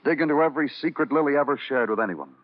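An actor playing a dogged, suspicious police detective in a classic radio noir, with a terse, dry, insinuating manner. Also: male voice, a little high, racing, natural voice. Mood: needling. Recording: slightly dull vintage radio recording.

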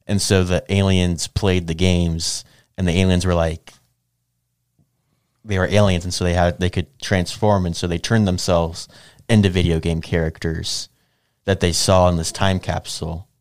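Man, fairly deep voice, podcast co-host, casual, halting and rambling, dry sound. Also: flat delivery, darkish tone, clear, resonant tone, no echo. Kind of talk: casual banter about video game movies.

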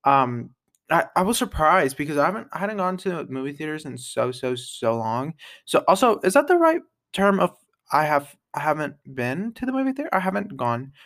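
A young man hosting an unscripted podcast, chatting casually and rambling, with lots of fillers, restarts and quick laughs. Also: a little high, big pitch swings, a bit bright. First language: English. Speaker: podcast host.

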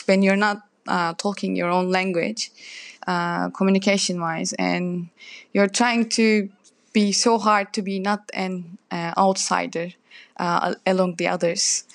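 A young woman with a Turkish accent talks slowly and haltingly, English not her first language, filling pauses with little laughs. The sound is dry and close, with no room in it. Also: mid-range voice, creaky, no room sound. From Turkey. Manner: hesitant, many fillers and laughs. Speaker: chef, interview guest. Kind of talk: casual interview talk.

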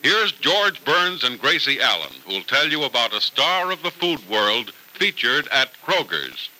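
A man's voice: mid-range voice, very animated, unhurried, bright, forceful tone, low-quality recording. A man announcing and narrating old-time radio from a script in a polished, emphatic style.

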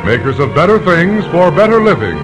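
Casting: male announcer, formal old-time radio credits style, lively intonation, music behind him. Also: a little high, fairly quick. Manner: polished, emphatic. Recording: old radio broadcast recording. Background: orchestral music.

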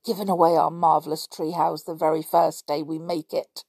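A woman's voice: low-pitched, flat delivery, normal speed, darkish tone, breathy edge, dry recording. A woman voicing a bossy young boy who leads a secret club, talking in a stern, scolding way.

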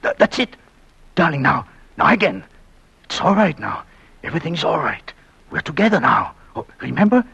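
An actor playing an anguished, devoted immigrant husband in an old radio drama, speaking in a halting, brooding, intense way. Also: male voice, a little high, big pitch swings, vocal fry, a bit bright, slightly rough, muffled recording, heavily acted. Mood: urgent pleading. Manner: halting, brooding, intense delivery with repeated words. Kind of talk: old dramatic radio-play acting with first-person narration.

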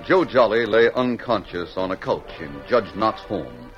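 Animated male old-time radio narrator. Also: lowish voice, measured pace, faint music behind. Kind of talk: dramatic scripted radio western narration. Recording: dull old radio recording.

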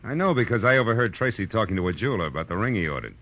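A man's voice: on the low side, sing-song, rapid-fire, fairly bright tone, exaggerated voice. A man playing a wry, hard-boiled private detective in a 1940s radio noir drama, with clipped tough-guy delivery. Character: hard-boiled male private detective, wry and sardonic, narrating his case. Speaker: lead actor and narrator. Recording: dull vintage radio recording with music.

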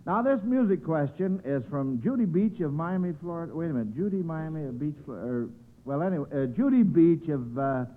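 A man's voice: on the high side, expressive, a little fast. A man with an American accent hosting a 1940s radio quiz show in a jovial, folksy, bumbling way. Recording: scratchy old radio broadcast, narrow phone-like sound.

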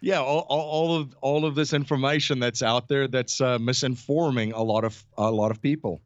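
A man in his forties from South Africa with a South African accent, a guest answering interview questions in a halting way, with many fillers. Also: mid-range voice, steady tone, no room sound. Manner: hesitant, many fillers and restarts. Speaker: podcast guest. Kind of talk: interview conversation. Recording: dull, dry phone-like line.

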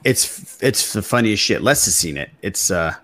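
Low voiced guy on a podcast, really animated, just joking around with the crew. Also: moderate pace. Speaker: podcast co-host. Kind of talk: casual banter.

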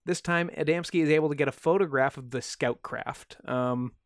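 A man's voice: a little high, moderate pace, dry recording, studio quality. A man co-hosting a podcast, recounting an odd story in a wry, joking way with plenty of fillers. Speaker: podcast co-host. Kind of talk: casual storytelling of a strange tale.

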